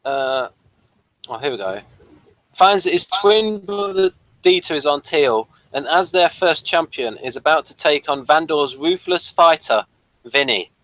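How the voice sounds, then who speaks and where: Bright, animated man bantering casually. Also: on the high side, unhurried, creaky, dry recording, rough recording. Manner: playful, bantering. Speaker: podcast host. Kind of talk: casual chat review of a TV episode.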